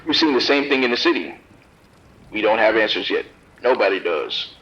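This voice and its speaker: Dull phone-line sound, man acting stern police officer, low voice, blunt, commanding, grave, horror audio drama. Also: lively intonation, moderate pace, fairly bright tone. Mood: weary, strained. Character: overwhelmed, grim, firm male police officer.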